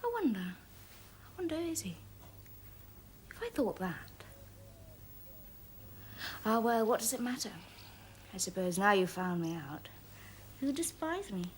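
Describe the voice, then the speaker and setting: A woman's voice: mid-range voice, big pitch swings, moderate pace, long pauses, slight background noise, close to an everyday voice, rough recording. A woman playing a proud, romantic young lady in a stage comedy, with a declamatory, emotional delivery. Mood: confiding, wondering. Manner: declamatory, noble posturing, emotional swings.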